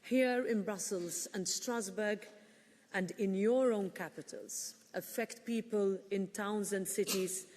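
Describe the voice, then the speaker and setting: A woman presiding over a parliament, giving an earnest, measured formal speech with occasional fillers. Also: medium pitch, a little mellow, long reverb tail. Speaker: parliament president opening a session. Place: large parliament chamber.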